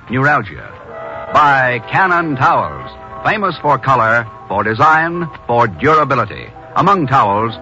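Old time radio announcer guy, american, punchy bright voice, dull vintage sound. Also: on the high side. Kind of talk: 1950s radio announcing, scripted.

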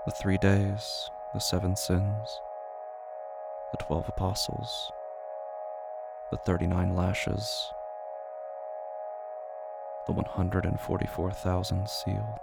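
A man reading scripted literary horror in a solemn, poetic and deliberate way. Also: low voice, little pitch movement, gravelly. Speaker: narrator of a horror fiction podcast. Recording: prominent music behind the voice. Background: music.

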